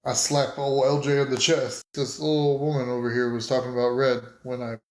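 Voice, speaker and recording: A man's voice: mid-range voice, little pitch movement, fairly quick. An American man playing a tabletop roleplaying game casually, speaking as his character. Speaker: player in an actual-play podcast. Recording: echoey room, home studio.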